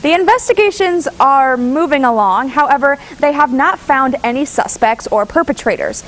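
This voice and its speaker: A woman with a fairly high voice reports news in a studio. Her delivery is measured and formal, like a student reporter presenting a prepared story. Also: animated, poor audio.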